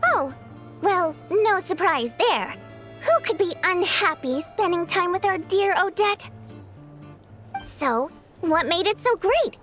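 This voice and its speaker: Bubbly, high-pitched teasing young performer. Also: female voice, lively intonation, slow pace, fairly bright tone, faint music behind, exaggerated voice. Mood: playful, teasing. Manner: teasing, theatrical. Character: playful, pushy, bubbly young female performer. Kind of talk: video game cutscene acting.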